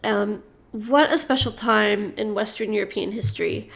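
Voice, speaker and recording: A woman hosting an interview, enthusiastic and quick to laugh as she asks her questions. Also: medium pitch, creaky, fairly bright tone. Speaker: host. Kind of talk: interview host.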